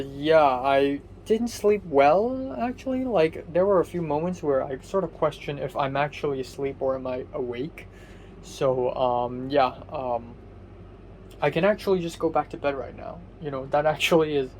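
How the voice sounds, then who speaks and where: A young man talks through a rambling diary vlog at home. His voice sits a bit high and comes out muffled and raspy. Soft background hum sits under it. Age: young adult. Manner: hesitant and rambling, many ums and restarts. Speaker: vlogger.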